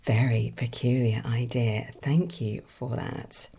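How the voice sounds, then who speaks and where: A woman hosting a writing podcast, moving between casual musing and expressive story reading. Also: very deep voice, slow, vocal fry, slightly rough. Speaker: podcast host and author.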